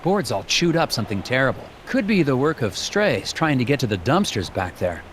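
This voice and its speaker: An actor playing an earnest, awkward, polite government investigator in a video game, officious and slightly hesitant. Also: male voice, medium pitch, very animated, fairly quick, a bit bright, a little hiss or hum. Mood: dry, reporting. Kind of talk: video game character dialogue and dictated reports.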